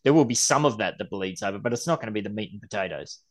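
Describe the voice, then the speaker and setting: A man's voice: lowish voice, animated, very fast. Man in his forties co-hosting a podcast. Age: 40s. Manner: reads quotes aloud then riffs on them. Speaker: podcast co-host. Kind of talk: casual chat reading news articles.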